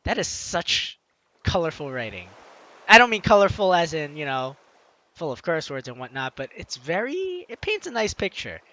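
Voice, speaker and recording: A man hosting a game playthrough, chatting in animated asides as he comments and reads along. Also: a little high, normal speed. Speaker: let's play host. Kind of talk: game commentary mixed with reading dialogue aloud.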